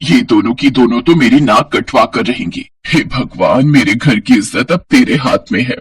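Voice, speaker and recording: Pious mother-in-law, voiced by a man, storybook, disapproving, admonishing, lowered pitch, husky. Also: normal speed. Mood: dismayed.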